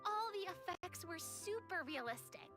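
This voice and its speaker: A woman playing a theatrical, cheerful young noblewoman in an anime game, with dramatic, expressive, slightly grand phrasing. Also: very high voice, unhurried. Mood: impressed. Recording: loud game music behind voice.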